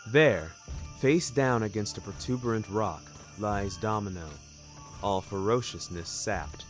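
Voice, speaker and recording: Guy reading a story aloud, slow and kinda deep, theatrical, music under it. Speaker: narrator. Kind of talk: scripted first-person audio story narration.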